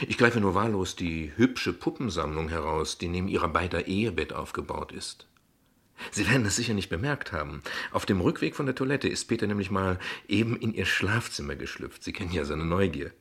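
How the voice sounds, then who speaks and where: A shrewd ironic dad in a radio play, husky and sharp, talks very pointed and polite. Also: male voice, low voice, animated, normal speed, heavily acted. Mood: sly, calm. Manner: eloquent, pointed, condescendingly polite. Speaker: actor. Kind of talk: dialogue acting in a German radio drama.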